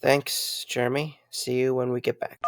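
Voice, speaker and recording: A man's voice: mid-range voice, flat delivery, normal speed, noisy background, close to an everyday voice. A man playing a sarcastic, easygoing young night guard in a conversational audio drama. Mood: casual, reflective. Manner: wry, conversational.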